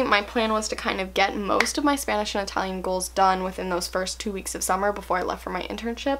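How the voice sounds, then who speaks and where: A young woman chatting to camera in a casual, candid way, with plenty of fillers and little laughs. Her voice sits at an ordinary middle pitch for a woman. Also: a bit bright. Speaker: language-learning vlogger.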